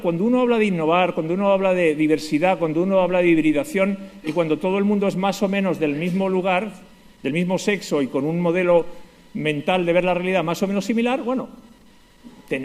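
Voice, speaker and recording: A man's voice: high voice, quick pace, darkish tone. A middle-aged man from the Basque Country with a Spanish accent, giving a conference keynote with ironic, self-deprecating humor. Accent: Spanish from Spain. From Spain, Basque Country. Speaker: conference speaker. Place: large conference hall. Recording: echoey hall reverb.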